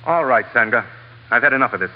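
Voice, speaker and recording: An actor playing a commanding, menacing crook with a curt, domineering manner in vintage radio drama. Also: male voice, mid-range voice, lively intonation, quick pace, natural voice. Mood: curt, threatening. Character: commanding, menacing gun-toting crook running a chart deal. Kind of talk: 1940s-50s radio drama acting. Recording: dull, noisy vintage radio recording.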